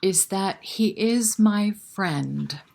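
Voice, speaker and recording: A woman's voice: mid-range voice, very slow, creaky, a little mellow. A woman hosting a library storytime, reading a picture book and chatting directly to young children with questions. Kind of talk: children's picture-book read-aloud and chat.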